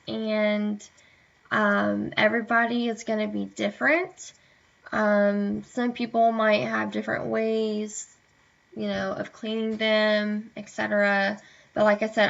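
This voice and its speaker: A young woman chatting casually to camera, hesitant with many fillers, sounding a bit hoarse and tired. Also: fairly high pitch, fairly bright tone. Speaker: vlogger. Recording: dull lo-fi webcam audio.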